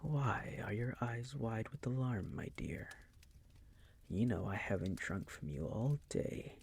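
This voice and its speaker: A man playing a seductive, possessive vampire master in an intimate roleplay audio, teasing and coaxing in a breathy, husky voice that often drops to a whisper. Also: medium pitch, animated, darkish tone, heavily acted. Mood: teasing, predatory. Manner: slow, teasing, condescending coaxing.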